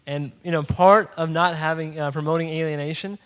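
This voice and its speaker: A man speaks off the cuff at a panel, his voice sitting a little high for a man. He talks conversationally, restarting phrases and tagging points with quick questions. The sound carries the long ring of a large conference hall. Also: steady tone, a bit bright, clear, resonant tone. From born in Pakistan, raised in Texas. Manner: conversational, frequent "right?" tags and restarts. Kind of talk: unscripted panel remarks.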